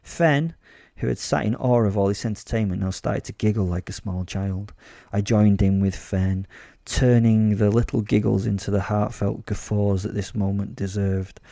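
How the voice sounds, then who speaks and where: A man's voice: fairly low pitch, fairly even intonation, moderate pace, creaky, mellow, no echo, clean studio sound. A man playing a sadistic werewolf serial killer, narrating a horror audio drama in the first person with sardonic, sweary relish. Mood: smug amusement.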